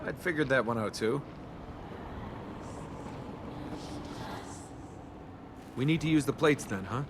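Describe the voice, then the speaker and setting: An actor playing a cocky young adventurer and reluctant hero in a video game, with a wry, flippant, casual delivery. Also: male voice, medium pitch, fast talker, background noise, lightly acted. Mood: wry, sarcastic.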